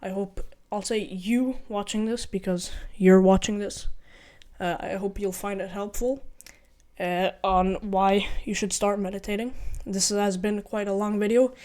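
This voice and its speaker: A man's voice: high voice, steady tone, unhurried, darkish tone. Earnest young man, Ukrainian-Norwegian accent. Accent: mixed Ukrainian and Norwegian. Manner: earnest, rambling, self-correcting, repeats himself. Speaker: vlogger. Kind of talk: casual advice vlog.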